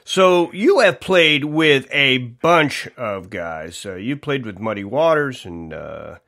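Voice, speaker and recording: A man's voice: medium pitch, very animated, slow pace, creaky, pushed and bright. A middle-aged man from Connecticut, hosting a podcast interview in a hesitant way with many fillers and restarts. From Connecticut, USA. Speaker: podcast host. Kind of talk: casual interview chat.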